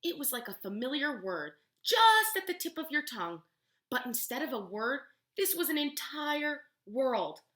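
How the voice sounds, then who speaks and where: A woman teacher reading a storybook aloud to young children, pausing to explain words and ask questions. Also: high voice, sing-song, a bit bright. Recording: roomy, echoey room sound.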